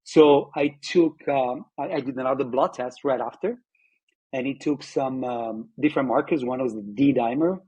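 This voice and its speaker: A man, telling his own story in an interview, reflective and halting with many fillers and restarts. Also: mid-range voice, mellow, husky, highs cut off. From Italy. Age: 50s. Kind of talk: personal testimony interview.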